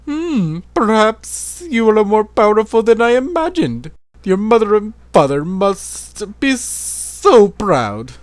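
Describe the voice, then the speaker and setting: A man voicing a cruel, gloating male demon villain in a fantasy story, taunting in a condescending, drawn-out way. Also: sits high, big pitch swings, no echo, voice pushed up, exaggerated voice.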